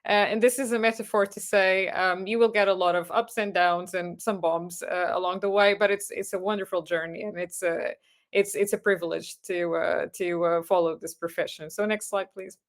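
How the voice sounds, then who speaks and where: A Portuguese-accented woman in her thirties presents a slide talk to would-be surgeons. Her voice sits at an ordinary middle pitch. She speaks earnestly but haltingly, with frequent ums and repeated words. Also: steady tone. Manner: hesitant, many fillers and restarts, earnest. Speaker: neurosurgery registrar and clinical lecturer.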